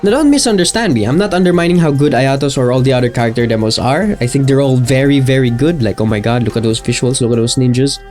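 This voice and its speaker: A man's voice: mid-range voice, fast, few pauses, a little mellow. A man giving casual, scripted video commentary in an informal, self-deprecating, jokey way. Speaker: video essayist, channel host. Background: guitar and plucked-string music.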